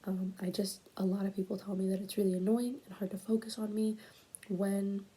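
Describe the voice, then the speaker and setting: Young woman talking thru a school project at home, breathy voice, stutters and pauses a lot, sometimes whispery. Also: mid-range voice, flat delivery, warm tone. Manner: stuttering, halting, with many pauses and fillers; whispered at times early on. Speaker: student presenting a class project. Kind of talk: casual spoken school presentation. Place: room at home late at night. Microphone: tablet.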